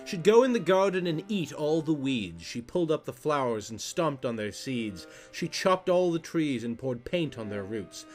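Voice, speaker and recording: A man's voice: a little high, big pitch swings, moderate pace, hardly any pauses, soft background music. A man narrating a rhyming children's poem as a storyteller, in a theatrical, rhythmic, emphatic way.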